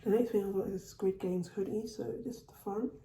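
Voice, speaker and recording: Woman doing a haul vid at home, really dark mellow voice, still getting over a cold, bit echoey. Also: mid-range voice, fairly even intonation, fast, slight background noise. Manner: chatty, repeats and restarts phrases. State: recovering from a cold. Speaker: vlogger. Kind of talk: casual haul video. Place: at home, indoors.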